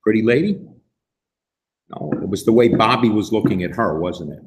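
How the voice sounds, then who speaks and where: A man reading a storybook aloud to children in an animated way, putting questions to his listeners in a gently instructive manner. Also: on the low side, moderate pace, noisy background. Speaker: storybook reader and host.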